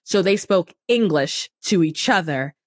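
A woman's voice: on the low side, a little slow. A young woman hosting a podcast interview, talking animatedly and checking in with "right?" as she goes. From Cameroonian family, raised partly in the United States. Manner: animated, affirming, frequent "right?" tags. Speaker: podcast host. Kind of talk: conversational interview.

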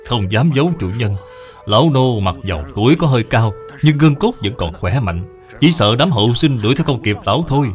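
A man voices an elderly servant in an animated folk tale. He is humble, loyal and determined, speaking with earnest, formal deference and lively intonation over music. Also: mid-range voice, brisk, natural voice. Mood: earnest, respectful.

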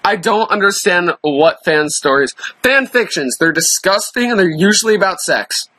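A man's voice: high-pitched, animated, moderate pace, sharp tone. A man co-hosting a casual gaming livestream, playful and teasing, chatting with viewers and his friend while racing. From Memphis. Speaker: livestream co-host.

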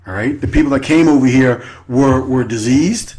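A man's voice: normal speed, a little mellow. A man hosting an internet radio show, giving an unscripted political monologue with repeated rhetorical questions. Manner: repetitive, rhetorical "right?" tags, sweeping pitch.

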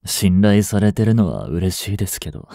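Man acting a low, mellow grieving young policeman. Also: expressive, creaky, no echo, studio quality. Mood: subdued, weary. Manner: hushed, slow, bitter, intimate murmur. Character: grieving young policeman mourning his criminal girlfriend, tender. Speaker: voice actor. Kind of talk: Japanese audio drama monologue. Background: music.

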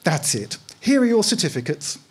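A man with a husky voice voicing a polite, officious female parenthood officer in a story reading. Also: sits high, very animated, moderate pace, pitched above the performer's own voice, heavily acted. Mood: brisk, businesslike. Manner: polite, scripted customer-service sympathy.

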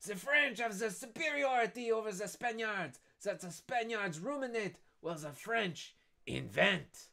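A man's voice: sits high, animated, unhurried, creaky, pushed and bright, voice pushed up, full character voice. A man voicing a cunning, self-satisfied schemer in a read-aloud novel, theatrical and haughty. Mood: scheming.

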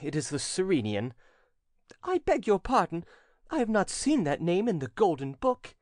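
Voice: mid-range voice, big pitch swings, moderate pace, no echo, clean studio sound, pitched above the performer's own voice, close to an everyday voice. A storybook narrator voicing a foppish young aristocratic officer, gossiping in an arch, mocking way. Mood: mocking, amused.

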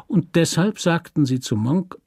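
Man as a calm, husky, shrewd gentleman questioner. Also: fairly high pitch, unhurried, dry recording, clean studio sound, voice pushed up. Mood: probing.

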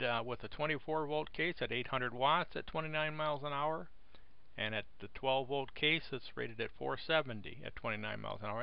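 A man casually walking through a screen-recorded explainer, plainspoken and relaxed, pausing often with "uh". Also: medium pitch, vocal fry, clean tone, no echo, muffled recording. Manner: relaxed, plainspoken, with frequent "uh" pauses. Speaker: video host explaining wind turbines.

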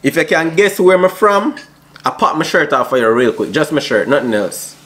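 A man's voice: a little high, very animated, normal speed, fairly bright tone. A young man in his twenties with a Jamaican accent, chatting playfully with strangers on a video app in a slangy vlog. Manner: playful, slangy, drops Jamaican patois exclamations. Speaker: YouTube vlogger. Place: small home room indoors.

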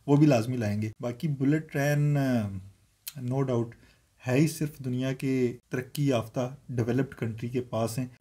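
A young man, co-hosting a casual reaction chat from a sofa indoors, relaxed and thinking aloud. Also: medium pitch, breathy edge. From Pakistan. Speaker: reaction video co-host. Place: indoor room, seated on a sofa.

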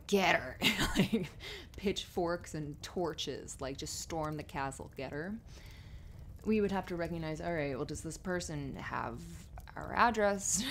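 A woman acting as a loving, reassuring writer girlfriend in an intimate ASMR roleplay. Her voice sits fairly low with plenty of vocal fry, soft and giggly, slipping into breathy murmurs. A faint fireplace crackle runs behind her. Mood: playful, excited. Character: affectionate, playful, reassuring successful writer girlfriend. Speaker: audio roleplay performer. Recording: noisy fireplace ambience behind voice.

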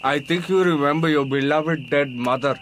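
A man's voice: a little high, normal speed, a bit bright, faint music behind, natural voice. A man in a radio drama playing a deferential, inquisitive Indian servant.

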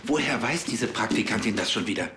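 A man's voice: fairly low pitch, animated, brisk, fairly bright tone, faint music behind. An actor playing an officious, exasperated male police chief in a comedy series, sometimes stammering in irritation. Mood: irritated. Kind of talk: comedic screen acting. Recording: roomy echo, faint background noise.

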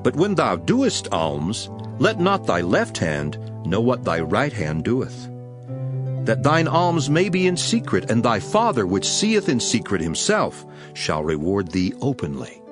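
An actor playing a solemn, authoritative male preacher in a dramatized King James Bible reading, declaiming in a measured, emphatic way. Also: lowish voice, wildly swooping pitch, over music.

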